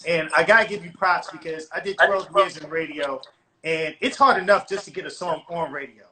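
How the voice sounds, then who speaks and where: A man from the United States hosting a casual interview, relaxed and laughing along, often calling his guest bro. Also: fairly high pitch, fairly quick. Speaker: radio and streaming host interviewer.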